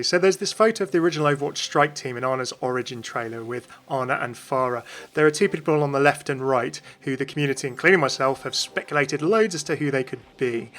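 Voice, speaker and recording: Fast-talking British man, higher-pitched voice. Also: a bit bright. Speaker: video host. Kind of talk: scripted narration, game commentary.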